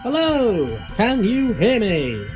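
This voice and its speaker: A man's voice: sits high, huge pitch swings, takes it slowly, exaggerated voice. An actor playing a reckless, obsessive, flippant monster hunter in an audio drama, wordy and wry in his theatrical delivery. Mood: gleefully sly. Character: reckless, obsessive male eccentric hunting a monster. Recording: music behind the voice.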